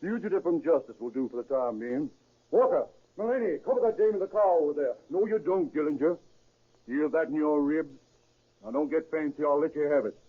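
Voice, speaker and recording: An actor playing a firm, no-nonsense American police detective in a 1930s radio crime drama, clipped and dryly polite. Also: male voice, on the high side, fairly quick, phone-quality sound, natural voice. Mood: firm, commanding. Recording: thin, band-limited 1930s radio recording.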